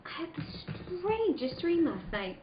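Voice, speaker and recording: A woman's voice: high voice, big pitch swings, normal speed. A woman playing an anxious, earnest wife troubled by nightmares in a comic old-time radio drama read. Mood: uneasy, chiding. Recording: roomy, muffled, noisy live room recording.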